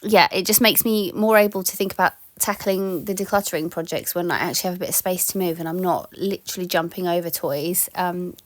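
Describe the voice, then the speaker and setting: Chatty woman with a slightly croaky voice. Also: on the low side, steady tone, a little fast, hardly any pauses, dry recording. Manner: chatty, rambling, many ums and yeahs. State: slightly croaky from a sore throat. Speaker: home tidying vlogger. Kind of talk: casual voice-over chat.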